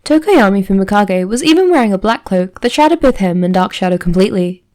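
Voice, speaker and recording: Woman, middle-pitched voice, story narrator, scripted fan fiction reading, audiobook style. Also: lively intonation, quick pace, a little mellow. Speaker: fan fiction podfic reader.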